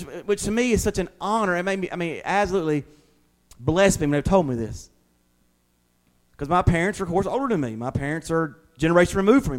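A middle-aged man preaching an informal, conversational sermon as a pastor, in a folksy way. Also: high voice. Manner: folksy, uses homely examples and acts out imagined replies.

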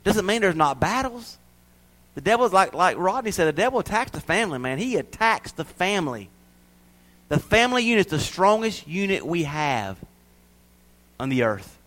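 A man preaching a sermon to his church, swinging from loud emphasis to hushed asides. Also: high-pitched, very animated, moderate pace. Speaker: pastor.

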